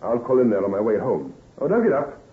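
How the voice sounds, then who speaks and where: A man playing a brisk, solicitous doctor in an old-time radio drama, emphatic and reassuring in his talk. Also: mid-range voice, very animated, narrow, tinny audio, close to an everyday voice. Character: male doctor, brisk, solicitous friend and adviser.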